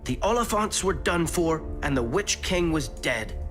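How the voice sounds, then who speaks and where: A man's voice: on the high side, lively intonation, unhurried. A man voicing a kindly hobbit storyteller who narrates adventures in a fantasy video game, in a dramatic storybook style. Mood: animated, kindly. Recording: loud music under the narration.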